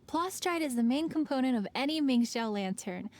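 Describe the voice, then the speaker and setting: An actress playing a polite, businesslike young woman who manages a festival project in a video game, explaining things clearly. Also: high-pitched, animated, normal speed, a little mellow.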